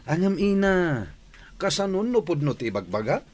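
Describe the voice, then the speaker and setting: A man's voice: sits high, very animated, normal speed. A man acting a skeptical, teasing young son in a radio drama.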